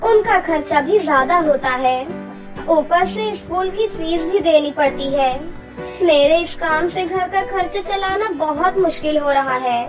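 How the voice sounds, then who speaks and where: Lady doing devoted cartoon mom, really high voice, slow n reassuring, faint music behind. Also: distant mic. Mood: worried. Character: devoted, hard-working widowed mother, tender and reassuring. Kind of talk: cartoon voice acting.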